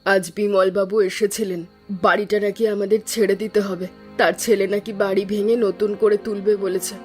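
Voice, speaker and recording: A woman voicing a quick, earnest young wife. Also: mid-range voice, level tone, a little mellow, faint music behind, lightly acted. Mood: consoling. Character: hardworking young wife running a small eatery. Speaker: cook, hotel owner. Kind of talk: animated cartoon voice acting.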